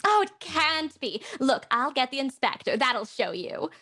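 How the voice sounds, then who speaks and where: An actress playing a blunt actor who voices a brisk houseguest in a period play. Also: female voice, sits high, sing-song, sharp tone, exaggerated voice. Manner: blunt, pointed. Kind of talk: audio drama acting.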